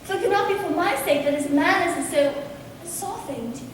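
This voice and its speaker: A woman plays an earnest, easily flustered young gentlewoman of period drama. Her high voice is projected in formal phrases. It rings through a very echoey hall, picked up from far off. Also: expressive, normal speed. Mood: wondering. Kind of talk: amateur stage play acting. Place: stage in a theatre or hall, distant microphone. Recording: echoey theatre hall from far off, faint noise.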